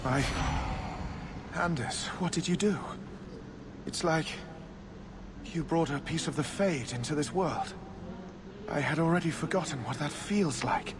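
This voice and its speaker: Doomed fantasy game mage, male actor: highish voice, urgent, pleading, wondering, noisy background. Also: measured pace, long pauses, lightly acted. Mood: awed. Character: doomed mage man, anguished, briefly freed from emptiness. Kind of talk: dramatic video game dialogue acting.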